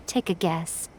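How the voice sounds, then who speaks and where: A woman's voice: mid-range voice, mellow, slight background noise. A woman playing a sly, elegant, teasing lady in a video game, with a wry and poised delivery. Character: sly, teasing, elegant female confidante of a god. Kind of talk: video game character dialogue.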